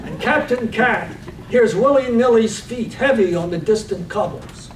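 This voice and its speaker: A man plays a poetic village storyteller in a radio play reading, heard at a distance in an echoey room. The voice is unusually high, and the phrases are measured and savoured. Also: animated. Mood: lyrical. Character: lyrical storyteller narrating village life. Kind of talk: scripted radio-play narration. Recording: echoey, distant microphone, faint room noise.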